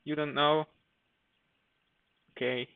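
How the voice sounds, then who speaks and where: A man's voice: a little high, flat delivery, takes it slowly, clean tone, no echo. A man giving casual live commentary while streaming a game, thinking aloud with hesitant fillers. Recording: dry, phone-like narrow sound.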